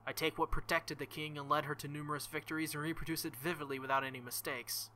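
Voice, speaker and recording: Young man, bright forceful voice, reads game text aloud, jokey crude asides, let's play host. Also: on the high side, level tone, fast talker, slight background noise. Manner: joking, crude asides between narrated passages. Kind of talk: reading a visual novel aloud with commentary.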